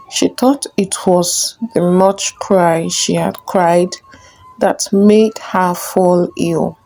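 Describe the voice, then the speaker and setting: Female narrator, folktale storytelling, very slow pace, slightly low pitch, measured storybook delivery, faint music behind. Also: a little mellow, poor audio.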